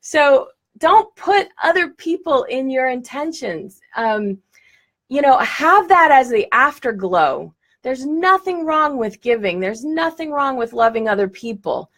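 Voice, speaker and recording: A woman's voice: sits high, big pitch swings, measured pace, a bit bright. Woman teacher, live stream, direct and enthusiastic, occasional laughs, webcam mic. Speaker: law of attraction teacher.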